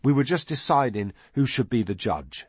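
A man's voice: mid-range voice, animated, moderate pace, slightly rough, dry recording, voice pushed up. A man voicing eager, squabbling young boys with childlike, unschooled speech in an audiobook story. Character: eager, squabbling young boys, twin brothers, bloodthirsty and righteous.

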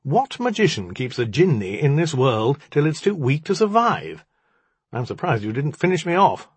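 A man's voice: a little high, big pitch swings, moderate pace, slightly rough, no room sound, pitched above the performer's own voice. A man voicing a sardonic, defiant jinni in the form of a lioness, with sarcastic, colloquial dialogue in an audiobook. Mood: indignant. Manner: sarcastic, colloquial, self-justifying.

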